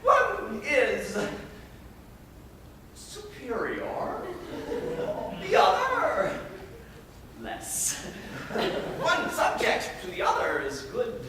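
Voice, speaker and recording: A man's voice: very high-pitched, huge pitch swings, extremely slow, pauses often, a crowd in the background, heavily acted. An actor playing a pompous, controlling middle-aged male guardian, declaiming rhyming verse in a stage monologue. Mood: smug. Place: high school auditorium. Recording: echoey hall from far off.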